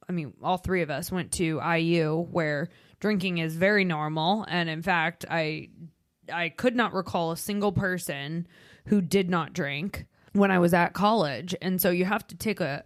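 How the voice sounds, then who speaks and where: Chicago woman podcast cohost, kinda low voice, dead dry mic, blunt and chatty. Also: no room sound. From Midwestern United States. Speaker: podcast co-host. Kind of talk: casual podcast chat.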